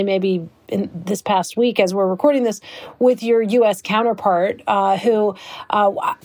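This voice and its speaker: A woman co-host puts questions to a guest in a relaxed, conversational manner with a bit of gentle humor. Her voice sits at an ordinary middle pitch for a woman. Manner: conversational, lightly joking. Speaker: podcast co-host, interviewer. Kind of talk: interview questions.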